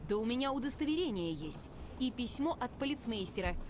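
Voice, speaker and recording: A woman's voice: a little high, lively intonation, fast, darkish tone, a little hiss or hum, natural voice. An actress playing an indignant, privileged young lady in a visual novel game, challenging whoever she talks to.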